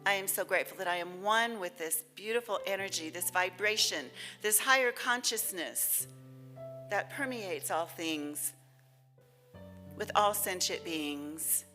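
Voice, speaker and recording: A woman reading inspiration and leading spoken prayer at a church service, reverent and deliberate, with long pauses. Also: mid-range voice, pushed and bright, faint music behind.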